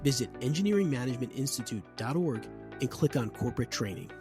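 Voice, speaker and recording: A man's voice: mid-range voice, expressive, fast, music in the background. A man reading a polished, scripted promotional ad. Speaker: ad announcer. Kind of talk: scripted ad read.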